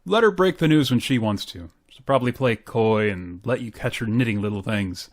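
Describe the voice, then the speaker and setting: A man's voice: medium pitch, lively intonation, normal speed, lightly acted. A man playing a jovial, know-it-all older friend in an old-time radio sitcom, hearty and wry.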